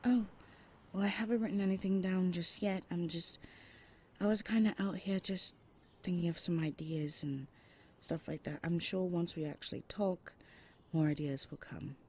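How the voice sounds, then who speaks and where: A shy, sweet young roommate eager to please, voiced by a woman. Her voice sits a little low and sounds mellow and warm. She talks softly and hesitantly, slipping into breathy whispers. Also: steady tone, normal speed, a little hiss or hum, lightly acted. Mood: soft, rambling. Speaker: voice actor. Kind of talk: ASMR audio roleplay.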